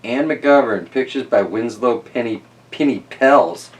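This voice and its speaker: A man hosting a children's story reading, mixing casual, easygoing chat and musing asides with animated storybook narration. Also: medium pitch, a little slow, distant mic.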